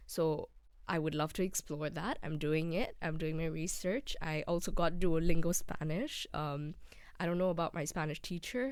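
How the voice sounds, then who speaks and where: A young woman hosting a relaxed solo podcast, rambling casually with frequent ums; English is not her first language. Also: deep voice, no echo, clean studio sound. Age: young adult. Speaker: podcast host.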